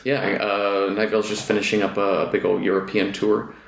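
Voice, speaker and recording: A male interview guest with a very low voice and plenty of vocal fry. He chats affably, feeling his way through each thought, in a room with noticeable echo. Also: monotone, brisk. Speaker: podcast guest, fiction writer. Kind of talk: casual interview conversation.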